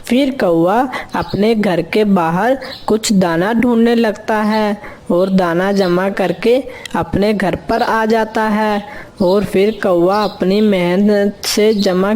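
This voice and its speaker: A woman's voice: medium pitch, darkish tone. A woman narrator reading a scripted children's story.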